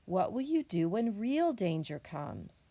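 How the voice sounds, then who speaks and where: A woman's voice: medium pitch, sing-song, slow pace, dark tone, no echo. A woman voicing a fretful, motherly possum with a firm manner in a children's storybook. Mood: worried.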